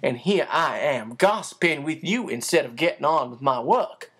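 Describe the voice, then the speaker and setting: A big, bustling housekeeper woman who talks in homely, old-fashioned turns of phrase, put on by a storybook reader. Her voice rides up and down with lively swings of pitch. She chatters briskly and means well. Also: slightly rough. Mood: chatty.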